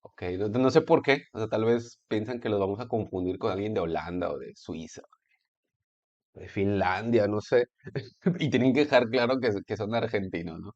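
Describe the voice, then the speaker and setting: Man, Mexican Spanish accent, low pitch, sarcastic rambling commentary, home computer recording. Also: moderate pace, a little mellow, lo-fi sound. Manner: rambling, hesitant, many fillers and restarts, sarcastic asides. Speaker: video essayist. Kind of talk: casual commentary monologue.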